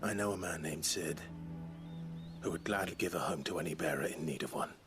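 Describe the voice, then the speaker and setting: A man acting a brooding young nobleman warrior in a video game, speaking in a grave, measured manner. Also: low-pitched, over music, lightly acted.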